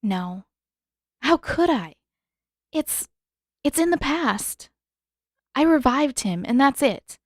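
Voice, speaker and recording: A woman's voice: sits high, big pitch swings, takes it slowly, pauses often, no room sound, studio quality, voice pushed up, heavily acted. A woman voicing an earnest, conflicted teenage girl in a fan fiction audiobook, speaking with some hesitation. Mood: hesitant, subdued.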